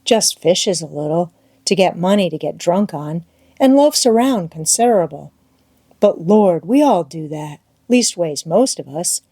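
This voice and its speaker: A woman's voice: lowish voice, lively intonation, a little slow, mellow, slightly rough, no room sound, studio quality, exaggerated voice. A woman voicing a plain-spoken, kindly vagabond boy in an audiobook, with emphatic, drawling rustic dialect. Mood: rueful, kindly.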